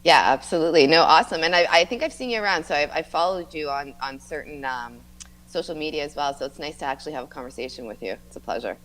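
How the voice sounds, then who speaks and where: Very low-voiced woman. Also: quick pace, a bit bright. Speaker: mayoral candidate being interviewed. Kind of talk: earnest conversational interview answers.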